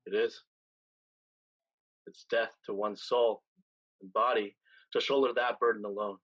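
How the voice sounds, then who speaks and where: Husky, solemn pirate captain, acted by a man. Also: fairly low pitch, fairly even intonation, fairly bright tone, highs cut off. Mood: weary, burdened. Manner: measured, deliberate delivery with dramatic pauses. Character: seasoned male pirate captain, weary, solemn, tender, once brash. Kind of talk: staged reading of a stage play.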